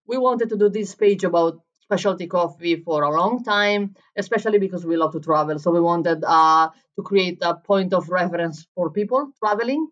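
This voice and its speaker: A woman from Italy with an Italian accent, answering interview questions as a barista and coffee coach, often pausing and restarting as she looks for words. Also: on the low side, a bit bright, muffled recording. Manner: searching for words in English, frequent restarts.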